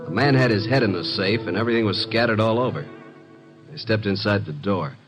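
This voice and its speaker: A man acting a streetwise private eye's assistant from an old-time radio mystery, with a low voice and music behind him. His delivery is wry and clipped, sardonic and exasperated, like a gumshoe telling his own case. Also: brisk, lightly acted. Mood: wry narration. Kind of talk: old-time radio drama acting and first-person narration.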